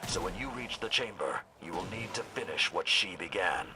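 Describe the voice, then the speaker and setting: An actor playing a grave, haunted old warrior mentor in a video game, speaking in a solemn, measured, gravelly way. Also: male voice, animated, noisy background. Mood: solemn urging. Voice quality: very deep, gravelly.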